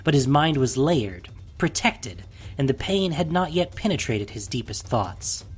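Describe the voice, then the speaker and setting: A man narrating a scripted fantasy audio drama in a dramatic, deliberate way, with a breathy voice. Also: medium pitch, sing-song.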